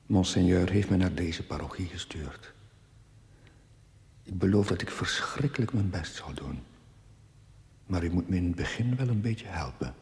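An actor playing a shy, anxious young priest who prays in a hushed, hesitant way in a television drama. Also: male voice, deep voice, expressive, moderate pace, creaky, long pauses. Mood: timid, confiding. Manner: hushed, hesitant, half-whispered. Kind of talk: intimate naturalistic TV drama monologue in prayer. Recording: long church reverb.

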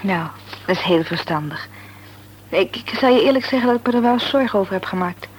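An actress playing a caring, chatty young friend in an old Dutch radio play. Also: female voice, medium pitch, animated, a little fast, slight background noise, lightly acted, rough recording. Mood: gentle, serious.

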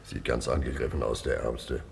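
An actor playing a slow, sheepish gangster henchman in a radio comedy, very deep and gravelly, hedging haltingly. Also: fairly even intonation, in a large hall, exaggerated voice. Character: slow, sheepish male gangster henchman reporting to his boss. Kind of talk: 1950s German radio comedy acting.

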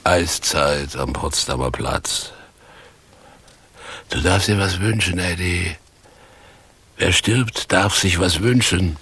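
An actor playing a theatrical tramp, a washed-up stage actor, slow and declamatory with a husky, creaky voice in a radio drama. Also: male voice, low voice, a bit bright, full character voice. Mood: solemn. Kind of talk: 1980s German radio drama acting.

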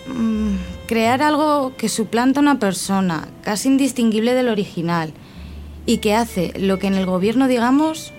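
A woman's voice: fairly high pitch, darkish tone, natural voice. A woman playing an assertive, persuasive wife in a Spanish audio drama. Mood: hesitant, concerned. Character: assertive, persuasive wife of a government decision-maker. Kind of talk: Spanish audio fiction acting.

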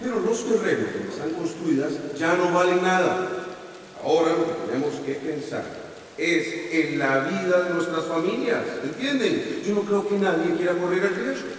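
A man's voice: high voice, moderate pace, distant mic, slight background noise, natural voice. Costa Rican man as a pleading town mayor , voiced by a man, raised voice, echoey hall, heard from afar. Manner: insistent, pleading for calm. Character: male town mayor leading a tense evacuation meeting. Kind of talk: radio drama acting.

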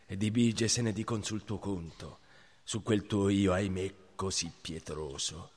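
An actor playing an oily, scheming rogue in a radio play, mannered, florid and wheedling. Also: male voice, sits low, measured pace. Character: oily scheming swindler rogue preying on a child. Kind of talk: theatrical radio play acting. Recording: long reverberant echo.

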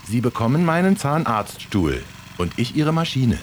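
A man's voice: mid-range voice, very animated, moderate pace, a little hiss or hum, close to an everyday voice. A man acting a sardonic, grumbling East German drinking buddy in a radio play, dry, laconic and mocking. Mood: tipsy mocking.

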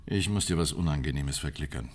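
A man's voice: extremely low pitch, brisk. A man acting in a German radio drama as an evasive state premier who placates a political ally in a confidential tone. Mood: apologetic, uneasy.

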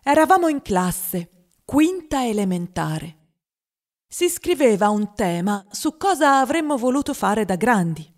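A woman's voice: on the high side, big pitch swings, a little slow. An actress playing a wistful, dreamy, overweight young boy who confides his story in a radio drama monologue. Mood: wistful, confiding.

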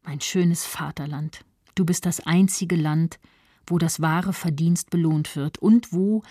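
Low-voiced, husky, smug social climber. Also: female voice, fairly even intonation, slow pace, dark tone, no echo, studio quality. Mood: smug rapture. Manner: self-important, gloating, gossipy. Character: smug, spiteful doctor's wife, opportunistic 1930s social climber. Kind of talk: radio literary monologue reading.

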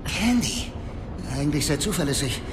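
Lively, officious colony leader. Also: male voice, a little high, normal speed. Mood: eager, agitated. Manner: officious, eager. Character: pushy, self-important leader of colony dwellers. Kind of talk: German radio drama acting. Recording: echoey room with clear background noise.

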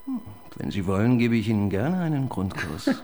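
A flustered young police assistant. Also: male voice, lowish voice, very animated, moderate pace, dark tone. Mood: chatty. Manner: flustered, stammering when pressed. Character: awkward young male police assistant, computer-minded. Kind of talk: radio crime drama acting.

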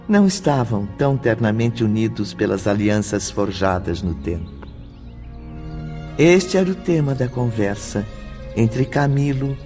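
A Brazilian man narrates a scripted radio drama at a slow, measured pace. His diction is formal and solemn. Soft music plays behind him. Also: mid-range voice.

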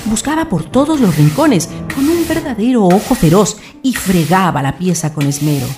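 A woman narrating a scripted radio drama in an expressive storytelling manner. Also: on the low side.

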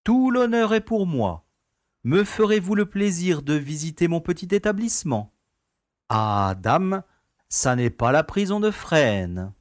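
A man's voice: fairly high pitch, big pitch swings, measured pace, no room sound, studio quality. A man playing an easygoing, genteel prison director in an audio drama, telling things in a wry, nostalgic way. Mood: courteous. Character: urbane, easygoing prison director, former playboy.

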